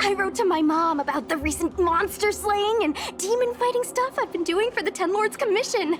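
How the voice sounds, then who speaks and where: A woman's voice: extremely high pitch, normal speed. A woman voicing an eager, bubbly young girl ghost hunter in an anime-style video game. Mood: eager, proud. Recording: background music under the voice.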